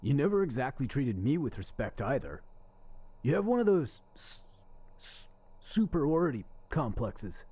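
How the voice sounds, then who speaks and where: An actor playing a crude, bitter but lonely restaurant server in a radio play, with sarcastic, needling delivery. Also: male voice, mid-range voice, big pitch swings, moderate pace, dark tone, breathy edge. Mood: bitter, agitated.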